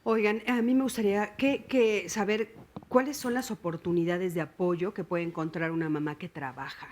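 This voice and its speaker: Woman, TV talk show host, lower voice, probing follow-up questions. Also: fairly even intonation, moderate pace, a little mellow. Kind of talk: interviewing guests on a TV panel.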